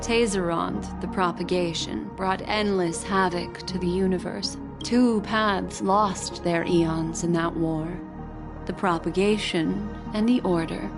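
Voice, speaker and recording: A woman's voice: lowish voice, steady tone, slow, over music. A woman playing a composed, knowing strategist in a video game, explaining things in a poised way. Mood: composed, serious. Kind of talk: English video game voice acting, expository.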